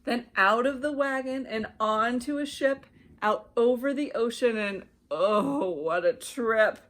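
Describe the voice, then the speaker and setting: An American woman reading a rhyming children's storybook aloud as an expressive narrator. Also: fairly high pitch, slow. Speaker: children's ministry director reading stories.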